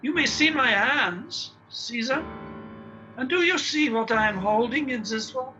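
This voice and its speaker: Old villain professor man acting: high voice, sly menace, radio play delivery over music. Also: lively intonation, a little slow, bright, forceful tone, muffled recording, full character voice. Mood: menacing, taunting.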